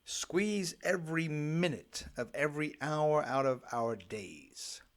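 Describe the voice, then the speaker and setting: A man's voice: on the high side, expressive, slow pace. A middle-aged man reading his own self-help audiobook in a dramatic, emphatic storytelling manner. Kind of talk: scripted self-help narration.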